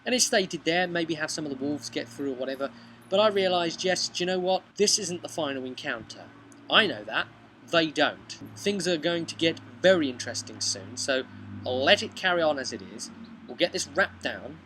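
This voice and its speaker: A man's voice: fairly high pitch, animated, normal speed, pushed and bright. A man, casually retelling a tabletop role-playing session as game master, recorded outdoors on a camera mic. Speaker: game master recapping his campaign. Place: outdoors on a bench beside a brick house. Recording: noisy outdoor home video recording.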